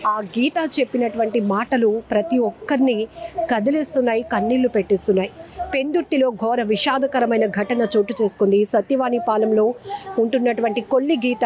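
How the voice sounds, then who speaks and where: A woman presents the news. Her narration is emotive and solemn. She speaks earnestly, as if appealing directly to viewers. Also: on the high side.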